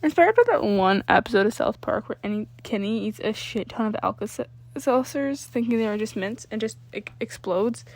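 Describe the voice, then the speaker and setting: A woman with a fairly high voice narrates a story in an informal, expressive way. She sometimes trips over words and rereads them, and the sound is dry and close. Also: normal speed, hardly any pauses, no echo. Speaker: amateur fan fiction reader.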